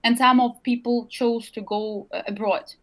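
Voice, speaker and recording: A woman's voice: on the high side, fairly even intonation, moderate pace, a bit bright. A young adult woman from Ukraine with a Ukrainian accent, speaking earnestly in an interview and often searching for words. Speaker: interview guest. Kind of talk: remote interview.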